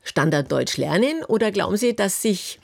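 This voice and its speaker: A woman's voice: mid-range voice, big pitch swings, normal speed, gravelly, no echo. A woman hosting a radio call-in in a conversational interviewing style. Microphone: close, dry studio microphone.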